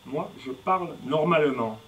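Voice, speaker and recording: A man's voice: fairly high pitch, expressive, normal speed, a bit bright, a little hiss or hum. A man performing punning, rhythmic spoken-word poetry on stage, recorded live. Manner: playful punning, declamatory and rhythmic. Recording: distant live recording with music.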